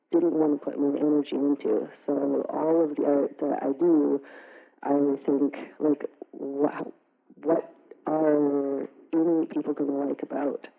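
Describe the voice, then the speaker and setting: A woman artist from Canada speaking casually on a panel, relaxed, with many fillers. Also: sits low. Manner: relaxed, filler-heavy, laughs between points. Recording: tinny phone-like sound.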